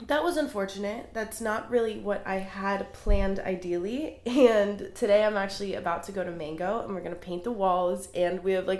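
Congested young woman, podcast host, chatty and earnest, roomy echo. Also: mid-range voice. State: congested with a cold. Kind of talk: casual solo podcast monologue. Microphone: small handheld recorder.

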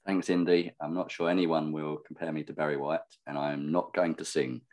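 A man co-hosting a podcast with a husky, worn-out voice, self-deprecating and quick to laugh. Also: rumbling low, level tone, darkish tone. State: hoarse, husky from overuse. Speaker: podcast co-host. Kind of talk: casual chat.

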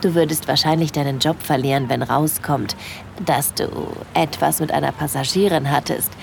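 A woman playing a shy, smitten young holidaymaker in an intimate first-person audio drama, breathy, confiding and easily flustered. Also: deep voice, steady tone, normal speed, a little hiss or hum. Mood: dreamy, infatuated.

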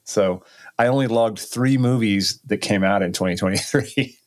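A man's voice: on the low side, normal speed. A man co-hosting a casual podcast, making dry, wry jokes in easy conversation. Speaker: podcast co-host. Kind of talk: casual chat.

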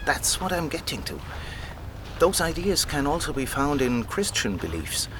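A man's voice: fairly high pitch, very animated, moderate pace, noisy background. An actor playing a keen, patient male scholar who explains things like a storyteller in an audio drama. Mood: keen, explaining. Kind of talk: conversational audio drama dialogue.

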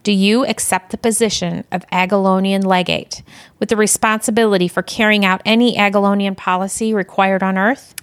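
A woman's voice: medium pitch, steady tone, brisk, dry recording, lightly acted. A woman playing a stern, exasperated alien empire commander in an audio drama, speaking formally with dry, curt delivery. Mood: formal, officious.

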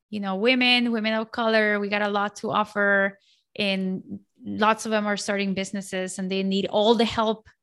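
A woman's voice: medium pitch, bright, forceful tone, clear, resonant tone. A woman from Colombia in her forties, a guest talking in an outgoing, chatty way with many fillers and restarts. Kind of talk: casual interview chat.

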